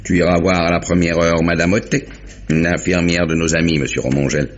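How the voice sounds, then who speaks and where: An actor playing a brisk, gruff, methodical police inspector in a 1950s French radio mystery, talking things through deliberately. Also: male voice, deep voice, level tone, natural voice. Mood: bossy, good-humoured. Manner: talkative, deliberate summing-up with muttered interjections. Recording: dull, noisy vintage radio recording.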